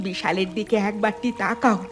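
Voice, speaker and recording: A woman's voice: medium pitch, steady tone, fairly quick, faint music behind, natural voice. Firm, urging village mother played by a woman. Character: practical, determined village mother of a small boy. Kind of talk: cartoon dialogue.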